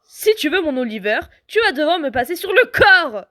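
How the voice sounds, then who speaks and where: A woman's voice: sits high, sing-song, normal speed, a bit bright, no echo, clean studio sound. A woman voicing a feisty, defiant, combative teenage schoolgirl in an amateur cartoon dub.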